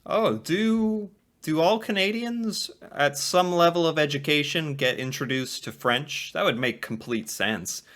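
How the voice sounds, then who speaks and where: American man musing, bright tone. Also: a little high, measured pace, few pauses. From United States. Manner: musing, conversational, laughs a little. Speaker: co-host reacting to a video. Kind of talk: casual reaction commentary.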